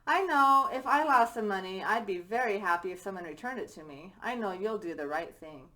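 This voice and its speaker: A thoughtful storybook mother, read aloud by a woman. The voice is bright yet measured, gently guiding a child. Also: medium pitch, lively intonation, low-quality recording.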